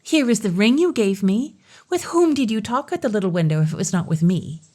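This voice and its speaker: A woman reading a storybook, voicing a spiteful young princess in a shrill, drawn-out, indignant way. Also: expressive, a little mellow, close to an everyday voice. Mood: mocking.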